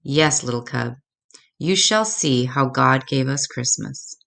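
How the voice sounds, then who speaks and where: Woman as a low-voiced, gentle mother bear. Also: slow, gravelly, heavily acted. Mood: tender, reassuring. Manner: tender, teaching patiently. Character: gentle, patient mother bear, devout and reassuring.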